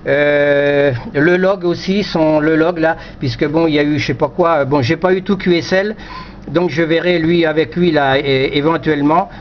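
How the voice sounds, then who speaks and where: A man's voice: a little high, steady tone, normal speed. A man from France chatting casually as an amateur radio operator, repeating himself and punctuating phrases with small filler words. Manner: repetitive, punctuates phrases with "là" and "voilà". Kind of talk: casual radio contact chat. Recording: dull lo-fi sound, faint noise.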